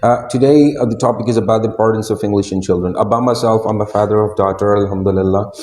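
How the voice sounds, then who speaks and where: A man's voice: lowish voice, steady tone, fast, darkish tone. Hesitant Pakistani man in an echoey room. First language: Urdu. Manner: hesitant, repeats words, many fillers. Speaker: guest speaker. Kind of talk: interview answers.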